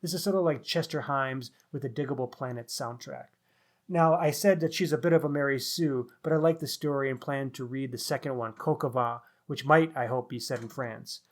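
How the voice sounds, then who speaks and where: A man's voice: medium pitch, a little fast. A man co-hosting a podcast, talking through a book review. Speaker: podcast co-host.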